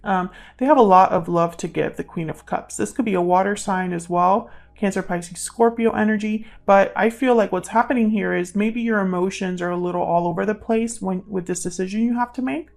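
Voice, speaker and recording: A woman hosts a casual tarot reading in a medium-pitched voice with a good deal of creak. She speaks in an intuitive, musing way, as if thinking aloud, and can drift toward a murmur. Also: fairly even intonation, a little fast, few pauses, darkish tone. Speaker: tarot reader, channel host.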